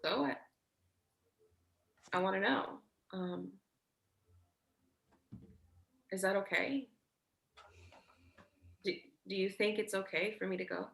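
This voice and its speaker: Insecure older sister, acted by a woman, naturalistic stage drama, bright forceful tone, halting and blunt, roomy echoing sound. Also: mid-range voice, gravelly. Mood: anxious, protective. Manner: halting, repeats words, swears bluntly. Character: Jordan, insecure, blunt, protective older sibling. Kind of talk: staged play reading, naturalistic dialogue.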